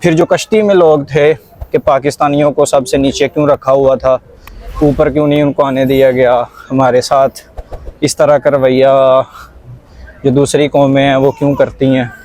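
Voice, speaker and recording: A man's voice: mid-range voice, little pitch movement, normal speed, a little mellow, poor audio. A young Pakistani man with a Punjabi accent hosting a casual conversational vlog, mixing Punjabi and English words into his talk. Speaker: vlog host.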